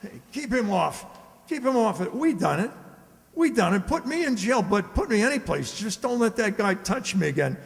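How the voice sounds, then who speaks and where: An actor playing a terrified male crook babbling his confession in a radio serial, with a creaky voice. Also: high-pitched, sing-song, normal speed, exaggerated voice. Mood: panicked. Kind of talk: old-time radio serial acting. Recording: echoey hall.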